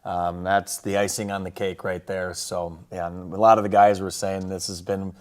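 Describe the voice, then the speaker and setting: Low, even-voiced man, a football coach. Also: normal speed. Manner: colloquial, full of coaching slang. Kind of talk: casual game recap and highlight commentary.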